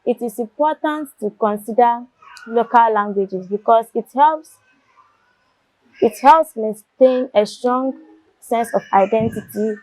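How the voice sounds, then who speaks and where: A young woman student, answering questions in a discussion in an earnest, measured way, pausing to find words. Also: fairly high pitch, a little mellow, dry recording, low-quality recording.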